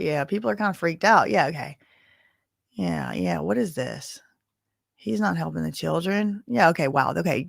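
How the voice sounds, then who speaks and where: Gravelly-voiced woman livestreamer, chatty. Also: medium pitch, fairly bright tone, dry recording, studio quality. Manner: chatty, reads chat, gasps and exclaims. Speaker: livestream host recapping a trial. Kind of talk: casual livestream commentary.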